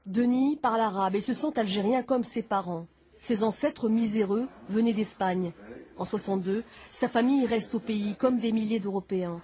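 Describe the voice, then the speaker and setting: Woman, mellow dark voice, scripted news narration, measured phrases. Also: medium pitch, slightly rough. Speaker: reporter narrator.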